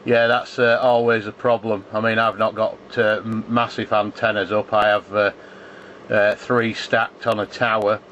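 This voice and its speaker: Low-voiced man on muffled radio. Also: level tone, normal speed, fairly bright tone. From England. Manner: spells out callsigns phonetically. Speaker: amateur radio operator. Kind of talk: casual ham radio contact chat. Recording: muffled shortwave radio contact, faint static.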